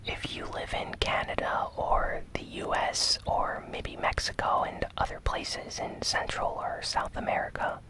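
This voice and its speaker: This is an ASMR news host who speaks only in a soft, breathy whisper. The pace is very slow and measured, broken into short phrases. The delivery is gentle, in the style of a relaxed recap. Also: poor audio. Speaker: host of a gaming news show.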